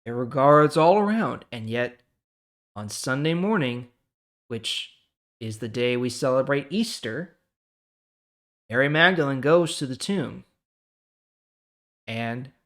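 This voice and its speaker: A man hosting a Bible reading series, speaking conversationally with dramatic pauses and emphasis as he reads and comments. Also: medium pitch, fairly bright tone. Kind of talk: casual devotional reading and commentary.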